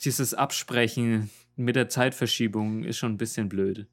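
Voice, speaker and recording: A young man with a Franconian accent, co-hosting a casual podcast from a home mic over a video call, easygoing and quick to laugh. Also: lowish voice, fairly even intonation. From Franconia, Germany. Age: young adult. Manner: easygoing, laughing, a little hesitant with fillers. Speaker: podcast co-host, language student. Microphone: home mic through an audio interface over video call.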